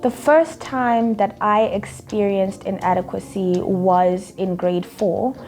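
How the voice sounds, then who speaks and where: A woman's voice: medium pitch, fairly even intonation, takes it slowly, a little mellow, soft background music. A woman from Zimbabwe telling a personal story as an interviewee, conversational with small fillers.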